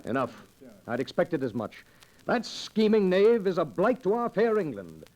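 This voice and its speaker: A bold, generous outlaw chief in a 1940s radio play. His voice sits high and leaps widely in pitch. He speaks in a hearty, theatrical way with old-fashioned phrasing. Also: very animated, moderate pace, darkish tone, poor audio. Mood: resolute. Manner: theatrical, hearty, archaic speech.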